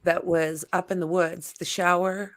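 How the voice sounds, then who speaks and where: A woman hosting a casual livestream chat, talking in a relaxed, rambling way with lots of fillers. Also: fairly low pitch, measured pace. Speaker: livestream host.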